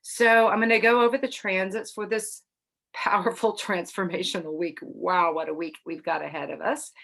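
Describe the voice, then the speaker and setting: Low-voiced congested woman astrology host. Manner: chatty, relaxed, frequent soft laughs. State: slightly congested after illness. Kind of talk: casual astrology talk to camera.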